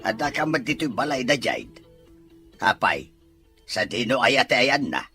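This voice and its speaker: A man's voice: mid-range voice, measured pace, bright, forceful tone, soft background music. A man playing a suspicious, grumbling man of the household in an Ilocano audio drama, accusing, with an Ilocano accent. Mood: suspicious, annoyed.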